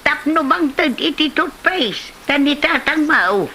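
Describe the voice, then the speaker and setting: A woman's voice: high voice, expressive, takes it slowly, pushed and bright, slight background noise, full character voice. A woman with an Ilocano accent playing a nagging, complaining, blunt village auntie in a comedy drama, full of exclamations. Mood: teasing, nagging.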